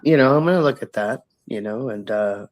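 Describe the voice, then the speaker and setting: A man's voice: medium pitch, a little fast. A man joining a casual chat as a guest over a video call. He is supportive and drops short affirmations while the other person talks.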